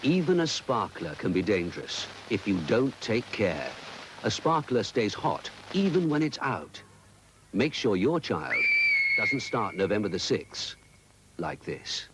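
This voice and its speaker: A man's voice: lowish voice, big pitch swings, a little slow. A man giving a serious scripted voice-over warning for an old public safety film. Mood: grave. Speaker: announcer. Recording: noisy old film soundtrack.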